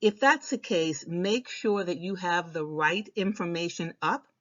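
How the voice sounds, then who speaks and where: Low-voiced woman presenting, dull recording. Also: unhurried, fairly bright tone. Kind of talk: informal instructional presentation.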